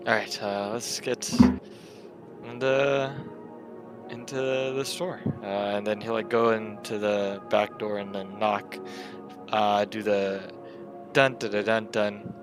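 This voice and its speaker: A man's voice: fairly low pitch, level tone, slow, music in the background. A man casually narrating his character's actions as a player in a tabletop game.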